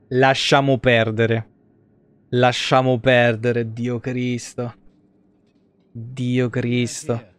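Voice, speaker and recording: Grumbling man streamer with an even pitch. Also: smooth. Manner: grumbling, foul-mouthed. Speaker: video game streamer. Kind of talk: live gameplay commentary with casual chat. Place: indoor room at a streaming desk.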